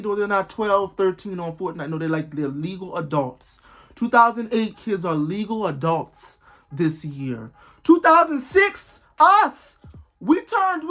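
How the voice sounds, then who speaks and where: A man's voice: high-pitched, a bit bright. A twenty-year-old man vlogging in casual talk, rambling and repeating himself, with bursts of disbelief. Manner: rambling, repetitive, exclaiming in disbelief.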